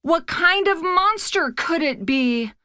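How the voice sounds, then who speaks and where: A woman's voice: extremely high pitch, expressive, slow pace, bright, forceful tone, no echo, studio quality, voice pushed up, exaggerated voice. A woman voicing a brave, stern young female storybook rabbit with a bold, scolding manner. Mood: defiant.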